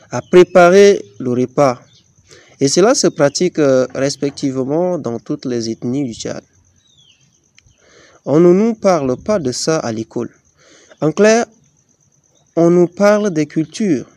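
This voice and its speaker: A young man from Chad, a high school student presenting his assignment, hesitant with fillers. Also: mellow, dry recording.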